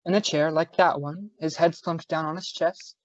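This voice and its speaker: Low, dry-sounding homicide cop played by a woman. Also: steady tone, moderate pace, no echo, close to an everyday voice. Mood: businesslike. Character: matter-of-fact male police homicide detective. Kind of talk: old-time radio drama acting. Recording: dull lo-fi audio.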